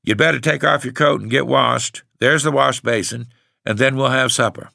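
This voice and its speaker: A man's voice: mid-range voice, moderate pace, gravelly, no echo, studio quality, pitched above the performer's own voice. A man reading aloud voicing a determined older farm wife who speaks plainly and steadily. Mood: coaxing. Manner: steady, plain-spoken rural speech.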